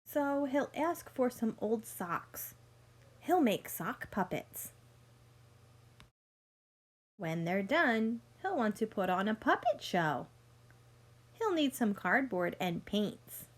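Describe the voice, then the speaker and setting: A woman reading a picture book aloud like a teacher, speaking deliberately to young listeners and explaining as she goes. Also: on the high side, sing-song. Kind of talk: read-aloud storytelling with teaching asides.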